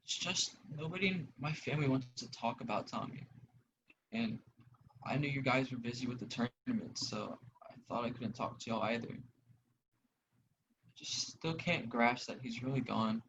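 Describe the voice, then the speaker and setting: Young actor as grieving, lonely gamer, hesitant, mid-pitched, dull and noisy student play recording. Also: male voice, normal speed, lightly acted. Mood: troubled, weary. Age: young adult. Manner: hesitant, earnest. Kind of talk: student one-act play acting. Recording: dull audio with clear noise.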